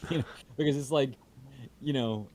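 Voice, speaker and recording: A man's voice: medium pitch, unhurried, darkish tone, poor audio. A man chatting casually on a livestream in a rambling, sweary way. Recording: faint background noise.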